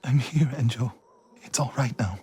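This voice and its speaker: A man's voice: mid-range voice, moderate pace, darkish tone, a little hiss or hum, close to an everyday voice. A man playing a grieving, stubborn warrior monk set on revenge, speaking solemnly in a dramatic game cutscene. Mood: tender, grieving. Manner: solemn, weighty, intense.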